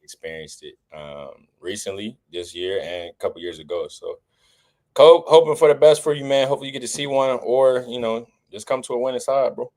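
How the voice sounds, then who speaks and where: A man's voice: mid-range voice, lively intonation, a little fast, darkish tone. A man in his twenties co-hosts a casual sports show in an ordinary mid-range voice. He talks in a laid-back way and teases the others. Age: 20s. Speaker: podcast co-host.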